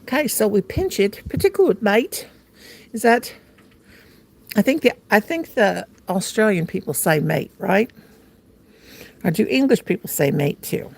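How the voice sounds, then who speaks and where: Gravelly, lively older American woman. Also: medium pitch, a little slow, long pauses. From United States. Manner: playful and silly, laughs often, talks to herself. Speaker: livestream host. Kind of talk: casual livestream chat while crocheting.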